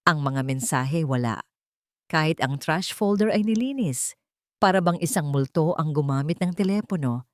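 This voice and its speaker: A woman narrating a scripted dramatic story in measured phrases with pauses, as an audiobook reader. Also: deep voice, a little mellow, no echo, studio quality.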